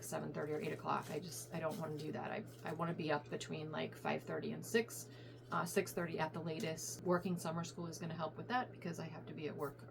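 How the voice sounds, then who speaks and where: A middle-aged woman from Virginia chatting casually to viewers in a rambling, self-correcting way while her voice goes hoarse. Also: lowish voice, a little hiss or hum. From coastal Virginia, US. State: voice going hoarse. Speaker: reseller vlogger. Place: home room with piles of stuff. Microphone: phone on a ring light.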